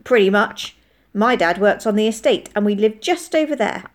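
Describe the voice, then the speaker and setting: A woman voicing a bold, sharp-tongued country girl with a blunt, challenging manner in an audiobook. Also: mid-range voice, animated, normal speed, lightly acted. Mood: indignant. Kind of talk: audiobook character voice.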